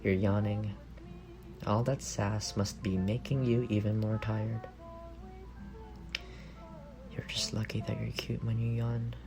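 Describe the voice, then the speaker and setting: A man playing an affectionate, teasing young roommate secretly in love, in an intimate ASMR roleplay with a soft, creaky voice. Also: fairly low pitch, flat delivery, takes it slowly, pauses often, music in the background. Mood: fond, soothing. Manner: playful teasing murmur with soft chuckles.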